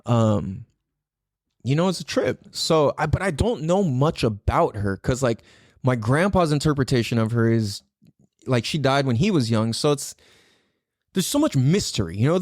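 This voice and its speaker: Animated, swearing male podcast host. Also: fairly high pitch, moderate pace, no echo, clean studio sound. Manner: profane, emphatic, repeats words for stress; excitable, laughs often. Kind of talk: casual solo podcast monologue.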